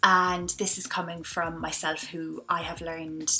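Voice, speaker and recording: A woman's voice: deep voice, little pitch movement, unhurried, sharp tone. A woman in her thirties with an Irish accent, an English teacher chatting casually through a lesson video with anecdotes and the odd um. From Ireland. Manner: chatty, anecdotal, with frequent ums.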